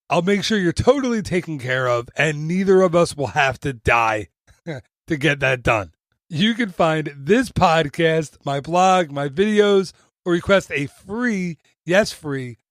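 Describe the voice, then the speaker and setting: Man, podcast host, upbeat promo read, chatty, self-deprecating, higher-pitched voice, dry close studio sound. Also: no room sound. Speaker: podcast host and travel agent. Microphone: studio mic.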